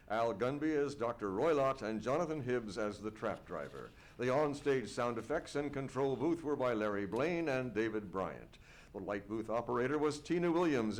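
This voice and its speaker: A man's voice: medium pitch, lightly acted. A man playing a courteous old-time radio announcer in a live stage show, formal and polite in his presentation.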